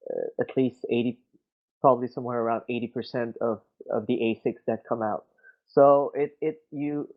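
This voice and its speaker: A man from Quebec with a French accent, musing aloud in English, halting and thoughtful, often restarting his sentences. His mid-pitched voice comes through a narrow, tinny voice-chat connection. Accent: Québécois French. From Quebec City, Canada. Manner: hesitant, many fillers and restarts, thoughtful. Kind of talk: casual discussion.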